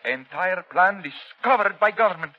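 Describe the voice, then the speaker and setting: An actor playing an anxious doctor who is a loyal conspirator, sounding urgent and a little hesitant, in 1930s radio drama style. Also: male voice, high-pitched, expressive, moderate pace. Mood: grave, urgent. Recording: dull vintage 1930s radio broadcast.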